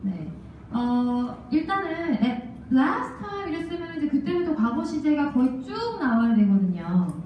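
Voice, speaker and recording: A woman in her thirties teaches a class through a handheld microphone, lively and peppering the room with questions. Her voice sits fairly high. The sound is dull, echoing through the classroom and caught from far away. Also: a little slow, slight background noise. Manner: lively, asks the class questions, laughs often. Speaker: language test instructor. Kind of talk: classroom lecture. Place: lecture classroom. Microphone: handheld mic, far camera.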